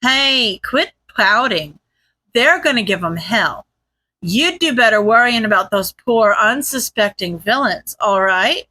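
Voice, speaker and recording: A woman's voice: on the high side, expressive, measured pace, pushed and bright, full character voice, poor audio. A woman voicing a streetwise female informant in a story, flippant and snarky with breezy bravado. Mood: brash reassurance.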